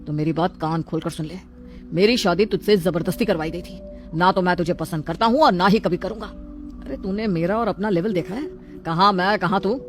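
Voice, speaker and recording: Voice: medium pitch, brisk, faint music behind, natural voice. A performer voicing an arrogant, scornful young husband who talks harshly and haughtily in a storybook drama.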